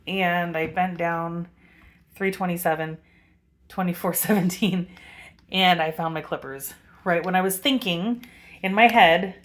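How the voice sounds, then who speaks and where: A woman vlogging a rambling spiritual monologue to her camera, meandering with many fillers and pauses, with a commanding voice. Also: on the low side, a bit bright, a little hiss or hum. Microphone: webcam.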